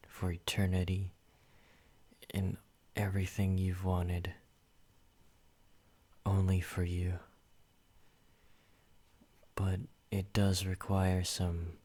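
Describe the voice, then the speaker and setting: Deep-voiced obsessive vampire lover, played by a man, slow, flat, hushed ASMR delivery. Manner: soft, intimate, slow and controlling, slipping into whispers. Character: possessive male yandere vampire, obsessive and menacing. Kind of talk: ASMR roleplay.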